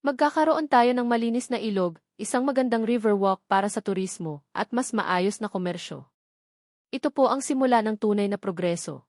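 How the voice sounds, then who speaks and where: A calm Filipino town mayor voiced by a woman narrator, firm and authoritative. He makes composed declarations in an audio romance, in a dry, close recording. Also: mid-range voice, moderate pace, no echo, close to an everyday voice. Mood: formal, confident.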